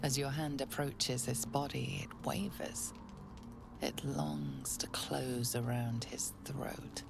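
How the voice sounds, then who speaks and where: A woman narrating a video game in the second person, in a hushed, ominous, whispered voice. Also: very deep voice, big pitch swings, slow, gravelly, full character voice.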